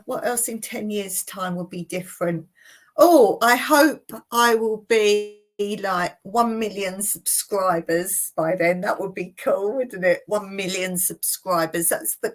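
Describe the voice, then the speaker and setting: A woman in her late fifties hosting a casual live Q&A, reading viewer comments aloud and chatting about them with frequent laughs. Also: mid-range voice. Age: 50s. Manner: chatty, laughs often between remarks. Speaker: channel host. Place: home in rural Cyprus.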